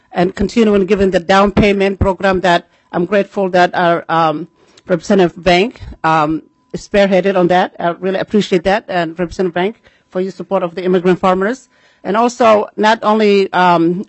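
A middle-aged woman with a Somali accent giving earnest, halting public testimony, repeating phrases for emphasis. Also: mid-range voice, no room sound, rough recording. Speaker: farmer testifying at a legislative committee.

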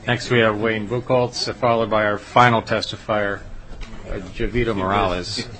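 Male committee chair, lowish voice, formal procedural calls, faint hiss behind. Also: steady tone, moderate pace, fairly bright tone. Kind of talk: chairing a hearing. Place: legislative committee hearing room.

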